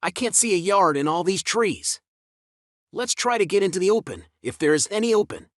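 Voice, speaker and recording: A man plays a decisive, hearty schoolboy in a storybook audio drama. The delivery is emphatic and very lively in pitch. The sound is dry and close. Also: fairly quick, dry recording. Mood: puzzled, decisive. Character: schoolboy Peter, hearty, decisive eldest brother.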